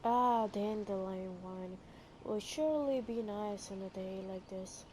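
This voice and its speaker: A woman's voice: medium pitch, measured pace, dark tone, a little hiss or hum, natural voice. An actress playing a composed, polite young female traveler in an English video game. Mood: businesslike. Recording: music behind the voice. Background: game music.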